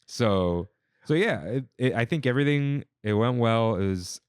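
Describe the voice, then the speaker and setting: A man's voice: mid-range voice, normal speed, clear, resonant tone, no room sound, clean studio sound. A young man who grew up speaking Armenian, chatting casually as a podcast co-host and telling wedding stories with quoted voices. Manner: animated storyteller, quotes people in voices. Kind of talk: casual chat.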